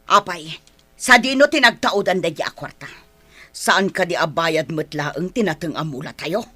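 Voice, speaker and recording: A woman's voice: lowish voice, moderate pace, bright, forceful tone, slightly rough. A woman playing an embittered, scolding wife and mother in a radio drama, combative and nagging. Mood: bitter, scolding. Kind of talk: Ilocano radio drama acting.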